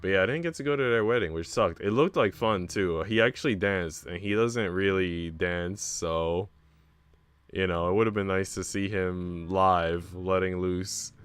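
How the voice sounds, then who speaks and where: A man's voice: low voice, moderate pace, a bit bright, clear, resonant tone, faint music behind. A young man chatting casually on a live stream in a slangy, rambling way. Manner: slangy, rambling, sprinkled with "like" and "bro". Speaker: streamer reading a visual novel, a teacher.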